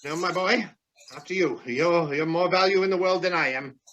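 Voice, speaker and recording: A man's voice: high-pitched, normal speed, a bit bright, dull audio. A man playing a selfless, fatherly older man in a radio play. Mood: gallant, resigned. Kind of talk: amateur radio play acting.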